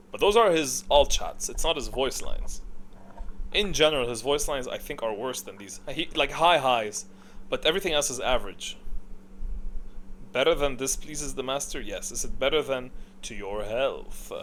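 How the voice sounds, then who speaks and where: A man's voice: mid-range voice, fairly bright tone, a little hiss or hum. A man giving casual, unscripted, opinionated commentary as a video creator, thinking aloud and often answering his own questions. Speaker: video creator ranking game characters.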